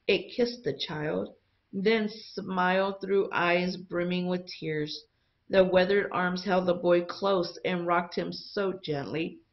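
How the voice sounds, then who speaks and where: A woman teacher reading a picture book aloud to young students in an expressive storytelling style. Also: lowish voice, muffled recording.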